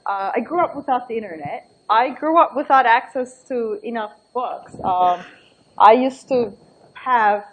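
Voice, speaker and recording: A middle-aged woman, giving a book talk in a lively, thinking-aloud way with laughing asides. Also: medium pitch, lo-fi sound, a little hiss or hum. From Turkey. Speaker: invited book talk speaker. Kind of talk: academic talk with anecdotes.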